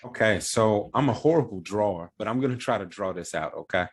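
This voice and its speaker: A man's voice: lowish voice, lively intonation, a little fast. A man, a financial advisor and founder of his firm, explaining retirement planning step by step in plain words. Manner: plain-spoken, walks through examples step by step.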